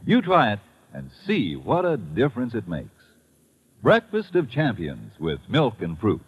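An American man pitches in a folksy old-time radio ad style, with hugely animated pitch swings and a husky voice. The vintage recording sounds muffled. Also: unhurried. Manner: chatty, emphatic, direct to listener. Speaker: commercial pitchman. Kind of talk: folksy 1950s radio advertisement.

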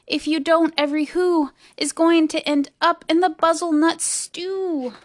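Lady reading as a sweet loyal elephant, worried and pleading, high voice, storybook style. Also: fairly even intonation, unhurried, no echo. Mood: urgent, alarmed. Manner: pleading, emphatic calling out. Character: earnest, kindly, loyal elephant, big-hearted and anxious. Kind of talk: storybook character voice.

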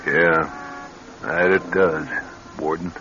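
A male actor playing a weary, guarded American ex-convict in a radio drama, plain-spoken and slangy with a husky voice. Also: extremely low pitch, fairly even intonation, slow, noisy background. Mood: guarded, casual. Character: weary, guarded ex-convict, casual working-class man. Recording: dull vintage radio recording.

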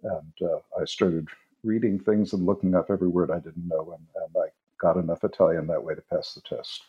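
An older man, an author being interviewed, answering thoughtfully with frequent pauses and fillers. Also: deep voice, steady tone, dull audio. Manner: thoughtful, hesitant with many fillers. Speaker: author and musicologist guest.

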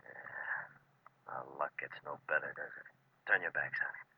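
An actor playing the calm, grim, terse leader of a bank-robber gang in an old radio drama. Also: male voice, very deep voice, measured pace, breathy edge, exaggerated voice. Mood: tense, controlled. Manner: terse, commanding, controlled. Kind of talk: 1940s radio acting. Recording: muffled, noisy vintage radio recording.

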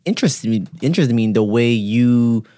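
Laid-back guy hosting a podcast, says bro a lot, mid-pitched voice. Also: lively intonation, brisk, mellow. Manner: casual, stammers and restarts, says "bro". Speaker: podcast host. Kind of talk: casual podcast interview.

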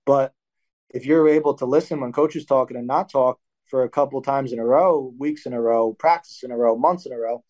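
A man's voice: mid-range voice, brisk. Rambling young man coaching talk. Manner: rambling, self-correcting, animated when acting out drills. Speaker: wrestling coach and athlete, guest. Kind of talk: casual interview talk.

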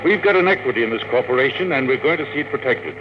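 A man's voice: mid-range voice, expressive, rapid-fire, lightly acted. An actor playing a blunt, hard-headed businessman in a classic radio drama. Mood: firm, exasperated. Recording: noisy old radio broadcast.

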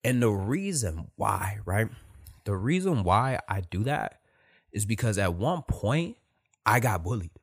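A soft-voiced man giving a blunt, emphatic motivational talk, repeating his points. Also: on the low side, sing-song, unhurried. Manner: emphatic, repetitive, direct with profanity.

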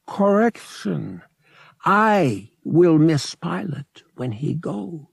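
A man voicing a large male feline alien co-pilot in an audiobook, with slow, broken, halting speech. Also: fairly high pitch, big pitch swings, vocal fry, slightly rough, no room sound, clean studio sound, pitched above the performer's own voice, full character voice. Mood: halting, earnest. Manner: slow, broken speech, talks of himself in third person. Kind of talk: audiobook character voice.

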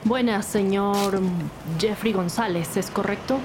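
A woman's voice: mid-range voice, a little slow, noisy background, lightly acted. An actress playing a commanding female police detective who leads an investigation in an audio drama. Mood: brisk, questioning.

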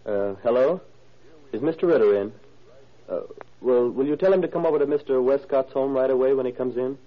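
An actor playing a murdered man's young son, halting, plainspoken and slightly uneasy, in a 1940s radio drama. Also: medium pitch, natural voice. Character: hesitant, cooperative young son of a murdered man. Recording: narrow, muffled old radio recording.

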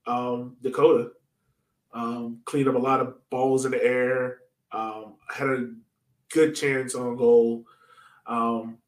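Casual man in a roomy-sounding space. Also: medium pitch. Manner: informal, slangy, conversational. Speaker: podcast host. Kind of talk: casual sports chat.

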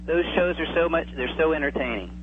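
A man in his forties chatting as an interview guest, enthusiastic and reminiscing, often halting and repeating words, heard over a phone. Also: fairly high pitch. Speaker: interview guest, radio drama producer. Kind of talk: casual chat, reminiscing. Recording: tinny phone line with hum and faint noise.

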